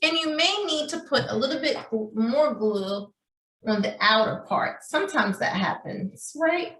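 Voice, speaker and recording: A woman's voice: on the high side, expressive, unhurried, fairly bright tone. A woman preschool teacher giving a craft demonstration for young children in a sing-song, checking-in manner, recorded in a room at some distance from the microphone. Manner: sing-song, addressing children as friends, frequent check-in questions. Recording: roomy echo, distant microphone.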